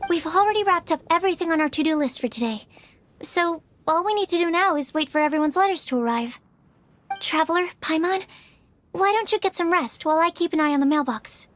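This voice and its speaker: A woman playing a sweet, shy, diligent young apprentice in a video game, speaking gently and politely. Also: very high-pitched, animated, brisk, a little hiss or hum. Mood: helpful. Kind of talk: video game character acting.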